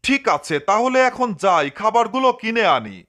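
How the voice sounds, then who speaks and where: A man's voice: high-pitched, animated, measured pace, a bit bright. A man playing an eccentric, scolding cat owner who declaims in a cartoon dub. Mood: dreamy, pleased.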